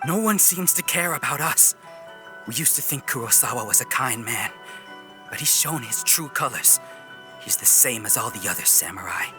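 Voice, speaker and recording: An actor playing an angry, bitter male villager in a video game, forceful and accusing. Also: mid-range voice, expressive, normal speed, close to an everyday voice. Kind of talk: video game cutscene acting. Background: game music.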